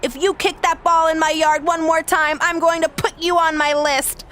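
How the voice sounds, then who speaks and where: An actress in a video game cutscene playing a spiteful, gloating wrestling villainess with a sneering, sarcastic, haughty manner. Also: female voice, very high-pitched, flat delivery, moderate pace, a bit bright, slight background noise. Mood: gloating, mocking.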